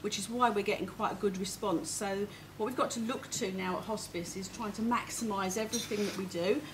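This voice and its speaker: A woman with a big, carrying voice talks in a chatty, familiar way, like someone updating supporters informally. Her pitch is average, and there is clear noise in the room behind her. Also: fairly even intonation, fairly quick. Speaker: hospice fundraising representative. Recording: noisy room ambience.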